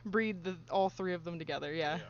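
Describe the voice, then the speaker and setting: A woman's voice: fairly low pitch, brisk. A woman game developer chatting casually and giggling during a livestream Q&A while she works. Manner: chatty and giggly, talks to her dog. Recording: muffled stream audio.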